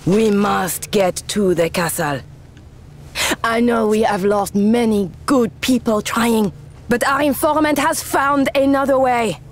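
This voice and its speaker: High-voiced, fervent French resistance leader. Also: female voice, slow. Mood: solemn, rousing. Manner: earnest, commanding. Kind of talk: video game cutscene acting.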